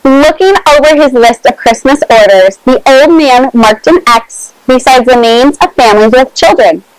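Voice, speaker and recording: A woman reading a storybook aloud to children in an expressive, measured way, adding her own small asides. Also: high-pitched, no room sound, low-quality recording.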